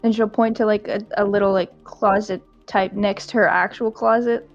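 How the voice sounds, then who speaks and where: A woman's voice: mid-range voice, little pitch movement, normal speed, faint music behind. A woman player describing her character's actions casually, with many fillers. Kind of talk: casual tabletop narration. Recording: dull lo-fi sound.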